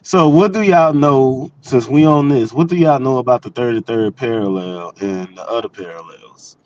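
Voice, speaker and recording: A man's voice: mid-range voice, moderate pace, a little mellow, no room sound, highs cut off. A man chatting casually in an open panel debate, talking in a loose, rambling, slangy way with some swearing. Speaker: panel participant. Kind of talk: casual group debate chat.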